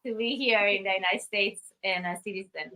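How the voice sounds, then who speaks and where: A middle-aged woman from Costa Rica, a guest artist speaking thoughtfully in an interview, with many pauses and restarts. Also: mid-range voice, fairly even intonation, sharp tone. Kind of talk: interview conversation.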